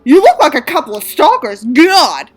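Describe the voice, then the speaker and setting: A woman voicing a loud, snippy, goofy teenage boy with exaggerated theatrical delivery in a story reading. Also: high voice, big pitch swings, moderate pace, faint music behind, pitched above the performer's own voice. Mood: mock outrage. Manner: hollering, exaggerated. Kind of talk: mocking dramatic reading of a story.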